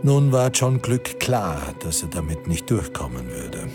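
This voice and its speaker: An actor as a grave storyteller narrating a wartime radio drama in a measured, literary manner. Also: male voice, deep voice, very animated, full character voice. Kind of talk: scripted third-person radio drama narration. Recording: studio radio play with music underneath.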